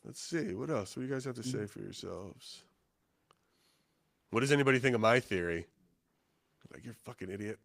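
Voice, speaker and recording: A man co-hosting a live podcast stream, talking in a low, laid-back drawl and chatting casually with his co-host and viewers. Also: lively intonation, a little fast, creaky, pauses often, fairly bright tone.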